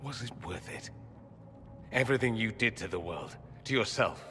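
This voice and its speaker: An actor playing a quick-witted mage companion in a video game, articulate and persuasive. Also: male voice, on the low side, animated, sharp tone, a little hiss or hum. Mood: reproachful. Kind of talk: video game cutscene acting.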